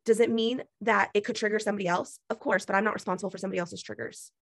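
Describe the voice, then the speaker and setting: A woman lectures her team on a video call. She is emphatic and preachy, drives her points home with repetition, and her voice is going hoarse. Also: medium pitch, fairly even intonation, rapid-fire, dry recording, clean studio sound. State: losing her voice. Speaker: business team leader. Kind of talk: motivational pep talk on a video call.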